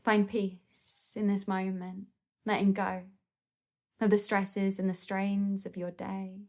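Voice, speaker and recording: A woman leading a guided meditation in a gentle, soothing, unhurried manner with pauses between phrases. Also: mid-range voice, flat delivery.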